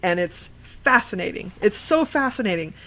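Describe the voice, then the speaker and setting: A woman's voice: medium pitch, animated, moderate pace, fairly bright tone, rough recording. A woman hosting a horror podcast, chatting casually in a salty, emphatic way and swearing freely.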